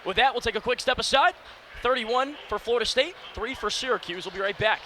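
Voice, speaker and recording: A man in his early twenties calling live football play-by-play on radio with energetic, clipped phrasing. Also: very high voice, fairly quick. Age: early 20s. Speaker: play-by-play announcer. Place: sports broadcast position inside a domed stadium. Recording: steady stadium noise behind. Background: stadium ambience.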